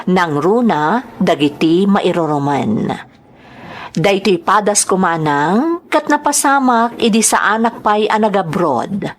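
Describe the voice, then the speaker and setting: A woman reading a scripted personal letter as the narrator of a dramatized story programme. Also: fairly low pitch.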